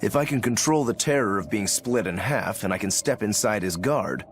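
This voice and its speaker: A man's voice: on the low side, animated, normal speed, soft background music. A man playing an earnest, easygoing, determined young swordsman in a video game, speaking in a straightforward way. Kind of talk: English video game dub acting.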